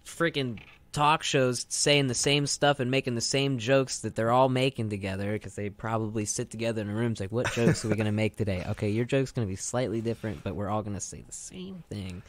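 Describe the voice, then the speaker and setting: Young man, radio co-host, casual chat, rambling, slangy, medium pitch, dry studio sound. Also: fairly even intonation, brisk, hardly any pauses, dry recording.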